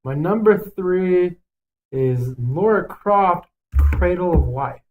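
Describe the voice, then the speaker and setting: A man's voice: high voice, lively intonation, takes it slowly, a little mellow, a little hiss or hum, poor audio. A man co-hosting a casual podcast, talking in a blunt, foul-mouthed and emphatic way as he gives his opinions. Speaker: podcast co-host. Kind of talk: casual podcast chat, ranking list.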